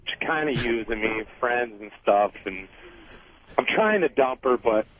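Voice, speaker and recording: A man's voice: mid-range voice, lively intonation, unhurried, dry recording. Man calling in, phone-thin sound. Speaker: radio call-in caller. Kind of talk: casual, profane confession. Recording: muffled narrow phone line.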